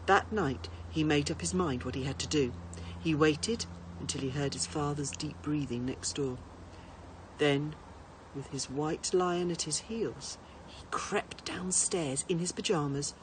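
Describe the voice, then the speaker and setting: A woman reading a children's storybook aloud in an expressive narrating style. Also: sits low, slight background noise, rough recording. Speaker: teacher reading to pupils.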